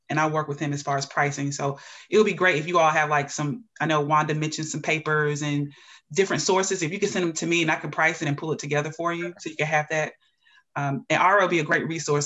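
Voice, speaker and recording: City staff person on a zoom call, bright voice, kinda practical, lots of "you know". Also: female voice, low voice. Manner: guiding, practical, says "you know" often. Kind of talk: video call meeting. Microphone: video call.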